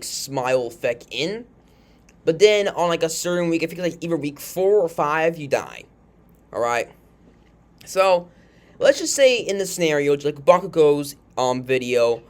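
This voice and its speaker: A video host giving casual, rambling commentary on character matchups, often checking in with the listener after making a point. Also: mid-range voice. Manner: rambling, informal, frequently tags statements with a questioning check.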